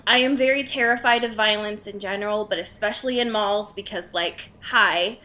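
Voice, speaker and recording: A woman's voice: mid-range voice, normal speed, pushed and bright. A young woman co-hosting a casual comedic podcast, swearing freely and exaggerating in slangy talk. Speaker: podcast co-host. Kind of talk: casual comedic book discussion.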